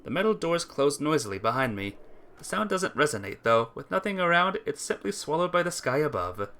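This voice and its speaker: A man reading a visual novel aloud as a let's play narrator, with expressive, earnest storytelling delivery. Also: a little high, fairly quick.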